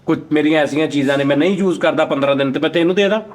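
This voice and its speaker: A man's voice: on the high side, racing. A man with a Punjabi accent hosting a casual comedic chat, arguing insistently. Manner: argumentative, insistent. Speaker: talk show host.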